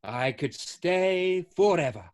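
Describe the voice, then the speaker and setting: A man playing a caddish young lover in a stage play reading, melodramatic and at times halting. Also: fairly high pitch, lively intonation. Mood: sheepish.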